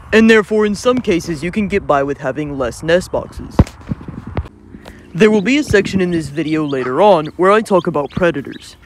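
Guy doing a clear steady how-to voiceover, bit high, faint noise behind. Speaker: channel host. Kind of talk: scripted voice-over narration.

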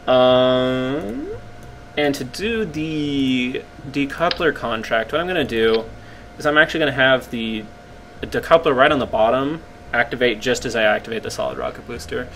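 A man with a slightly croaky voice casually commenting on his gameplay, rambling and poking fun at himself as he explains. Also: medium pitch, normal speed, a bit bright, slight background noise. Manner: rambling, self-deprecating, explains as he plays.

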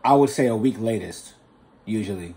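A man's voice: on the low side, animated, normal speed, warm tone, slight background noise. A man, a rapper, chatting casually on a podcast in an informal, slangy, anecdotal way. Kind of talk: casual chat.

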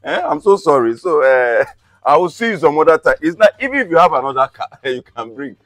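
A man's voice: on the high side, animated, fairly quick, a bit bright, no room sound. A man playing a car buyer in a comedy skit, chuckling and dismissive. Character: amused man refusing to return a car.

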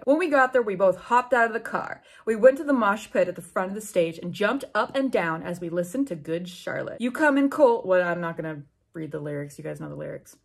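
A young adult woman reading fan fiction aloud with animated, sarcastic casual commentary and free swearing. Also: mid-range voice. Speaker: book video host. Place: small room at home with bookshelves.

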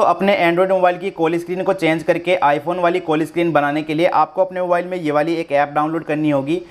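A man hosting a tech tutorial, explaining phone steps one by one and addressing viewers directly in an instructional way. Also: fairly high pitch. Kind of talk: step-by-step phone tutorial narration.

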